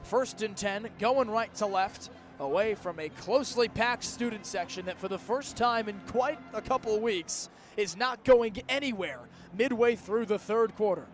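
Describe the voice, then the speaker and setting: High-pitched young male football play-by-play announcer. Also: moderate pace, soft background music. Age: young adult. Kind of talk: live college football radio play-by-play commentary. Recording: outdoor stadium broadcast with background noise.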